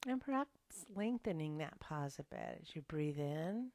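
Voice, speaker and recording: A woman leading a gentle yoga and breathing class, speaking softly and sometimes dropping to a whisper. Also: low-pitched, lively intonation. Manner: slow, soft-spoken and gentle, drifting into whispers. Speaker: yoga instructor.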